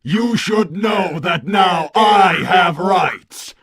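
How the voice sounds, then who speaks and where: Man voicing an earnest, forlorn robot son, husky. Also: high voice, very slow, fairly bright tone, exaggerated voice. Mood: solemn, declaring. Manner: stiff formal phrasing, stammering repeats when thrown. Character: earnest, forlorn robot son seeking his father's respect. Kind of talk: melodramatic cartoon roleplay.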